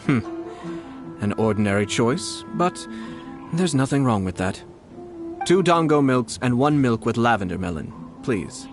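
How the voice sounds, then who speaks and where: An actor playing a composed, polite young nobleman in a video game, shrewd and quietly mischievous, speaking in measured phrases. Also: mid-range voice, expressive, faint music behind, lightly acted. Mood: pleasant, reflective. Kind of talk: video game character acting.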